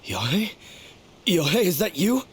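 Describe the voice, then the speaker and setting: A man's voice: sits high, very animated, measured pace, slight background noise. An actor playing a weary, insecure young swordsman in a video game, plaintive and earnest. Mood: dazed, shaken.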